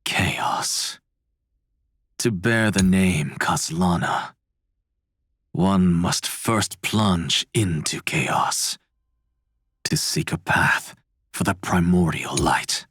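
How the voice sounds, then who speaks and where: A man's voice: sits low, very slow, pauses often, a bit bright, exaggerated voice. A man acting a grave, solemn mythic narrator in a fantasy game, speaking with weighty, portentous delivery. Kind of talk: dramatic scripted game voice acting. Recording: roomy echo, music behind.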